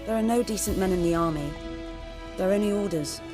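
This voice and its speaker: A woman acts out a world-weary fantasy village herbalist from a video game. She is bitter and blunt, speaking in measured, cynical lines at a medium pitch with music clearly behind her. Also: close to an everyday voice. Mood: cynical, dry.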